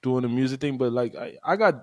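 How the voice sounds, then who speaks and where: A man's voice: medium pitch, animated, fast talker, dry recording. A man co-hosting a podcast, talking in an easygoing way full of "man" and "you know.". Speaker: podcast co-host, music artist. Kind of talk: casual chat.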